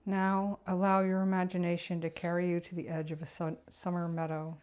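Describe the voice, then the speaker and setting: A woman narrating a guided meditation in a gentle, unhurried, reflective way. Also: lowish voice, level tone.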